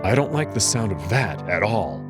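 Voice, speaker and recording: An uneasy, stubborn young man played by a male actor tells his visual novel story in first person. His voice sits slightly low and moves expressively, introspective and hesitant, over clearly audible music. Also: very animated, moderate pace. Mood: uneasy, insistent. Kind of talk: first-person narration with acted dialogue.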